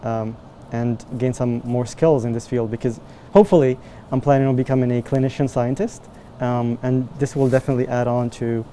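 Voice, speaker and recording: A man's voice: mid-range voice, fairly even intonation, fairly quick, dark tone, a little hiss or hum. A young man from Morocco, a medical student doing research, answering questions in a hesitant, casual way with many fillers.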